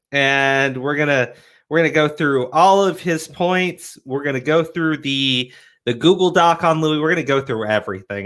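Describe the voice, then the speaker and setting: A man's voice: mid-range voice, fairly bright tone. A young man hosting a casual live stream, with a cold and a cough, rambling with many restarts. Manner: rambling, stumbling restarts, laid-back. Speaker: host.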